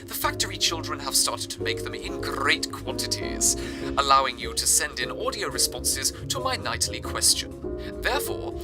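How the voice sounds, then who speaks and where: A man playing a grandiose, genteel radio drama host who speaks in florid, deliberate phrases, like an old-time broadcast monologue. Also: medium pitch, big pitch swings, loud music behind the voice, close to an everyday voice. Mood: genial, showmanlike. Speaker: fictional radio show host.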